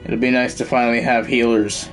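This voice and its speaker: A man's voice: mid-range voice, little pitch movement, fairly quick. A man chatting casually as a game streamer, slangy and laid-back. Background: faint game music.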